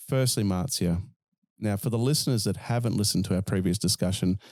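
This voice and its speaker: A man's voice: lowish voice, darkish tone, no room sound, clean studio sound. A man hosting a podcast, introducing his guest and asking long, rambling questions. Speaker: podcast host.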